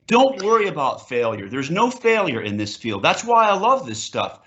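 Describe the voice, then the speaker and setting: A man's voice: a little high, very animated, moderate pace, fairly bright tone, lo-fi sound. A man on a panel call giving informal, encouraging advice in short punchy phrases. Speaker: panelist encouraging young entrepreneurs. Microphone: online call.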